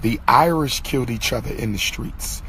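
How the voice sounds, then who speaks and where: A hoarse man giving an impassioned monologue to his followers, stressing and repeating his key phrases. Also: mid-range voice, moderate pace, fairly bright tone, slight background noise, low-quality recording. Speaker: lecturer.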